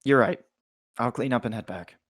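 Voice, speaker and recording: A man's voice: mid-range voice, moderate pace, fairly bright tone, husky. A man reading the part of an awkward, good-natured college student in a hesitant, conversational way at a table read. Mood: tired, strained. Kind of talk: casual script table read.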